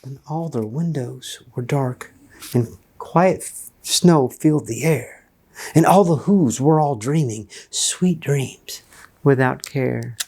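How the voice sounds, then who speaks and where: A man reading a children's storybook aloud in a theatrical, playful way with drawn-out emphasis. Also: mid-range voice, warm tone, slightly rough.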